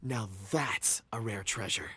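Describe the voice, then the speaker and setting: A man's voice: fairly low pitch, a little slow, a loud crowd, close to an everyday voice. An actor playing a courteous, absent-minded male rock expert in a video game, speaking in a polite, wordy, theatrical way. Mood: poetic, admiring.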